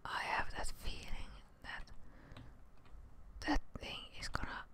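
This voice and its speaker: A young woman whispering breathy, gentle ASMR commentary over gameplay, rambling a little and hesitating, close to the microphone. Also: slow pace. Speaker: gaming streamer. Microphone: close microphone with headset on.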